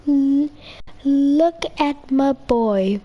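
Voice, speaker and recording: A woman gamer chatting casually over gameplay, halting and repeating her words as she reacts. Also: high voice, dark tone, lo-fi sound. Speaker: gaming content creator.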